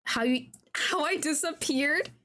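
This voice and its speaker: A woman's voice: high voice, unhurried, sharp tone. A woman playing a visual novel and giving casual let's-play reaction commentary. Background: music.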